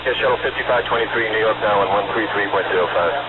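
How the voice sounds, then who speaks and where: A man speaks as an air traffic controller in brisk, businesslike radio phrasing. His voice is slightly low and the words come very quickly. The sound is narrow and tinny, like a radio transmission, with heavy noise over it. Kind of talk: rapid clipped radio instructions. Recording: noisy narrowband aviation radio recording. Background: radio static and noise.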